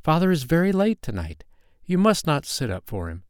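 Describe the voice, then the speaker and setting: A tender, motherly elder sister from a storybook, voiced by a man, speaks in a lifted voice. She is caring and anxious, gently imploring, in a dry recording. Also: fairly high pitch, huge pitch swings, moderate pace, no room sound, voice pushed up. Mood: gently firm.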